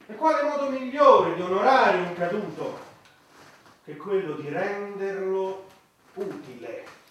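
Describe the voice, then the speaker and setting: A man's voice: high voice, expressive, unhurried, a bit bright. A man playing a fervent, cynical government minister in a satirical radio play, declaiming with rhetorical questions. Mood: coolly argumentative. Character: fervent, cynical male government minister making grand proposals. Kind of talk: satirical radio theatre acting. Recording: very echoey, distant microphone.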